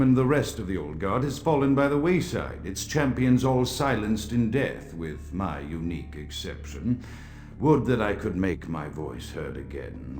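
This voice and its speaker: An actor playing an ancient, mad vampire psychiatrist in a video game, delivering a formal, pompous, archaic monologue. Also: male voice, sits low, a little mellow. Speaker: recorded audio diary narrator in a video game. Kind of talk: theatrical scripted monologue. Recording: faint music behind the voice. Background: faint music.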